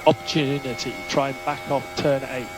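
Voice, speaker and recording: A man working as a race engineer, giving measured, clipped instructions over team radio and repeating key calls. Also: medium pitch, level tone. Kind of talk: instructional radio messages to a racing driver. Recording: noisy motor racing team radio.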